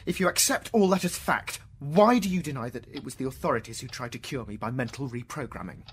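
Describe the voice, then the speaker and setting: An actor with an English accent playing a troubled, proud middle-aged British scientist in a radio drama, intense and questioning. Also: male voice, medium pitch, lively intonation, quick pace, husky. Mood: reflective, insistent. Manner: intense, questioning, swinging from hushed musing to outbursts. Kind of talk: theatrical radio drama dialogue.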